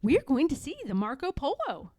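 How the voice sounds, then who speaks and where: A woman's voice: sits high, wildly swooping pitch, moderate pace, mellow, dry recording, studio quality, pitched above the performer's own voice. A woman voicing an eager, dreamy little boy bear in a children's storybook, earnest and full of wonder.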